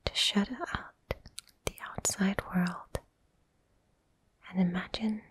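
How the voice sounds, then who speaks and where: A woman softly whispering a guided ASMR visualization for relaxation and sleep, soothing and unhurried, with long pauses. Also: mid-range voice.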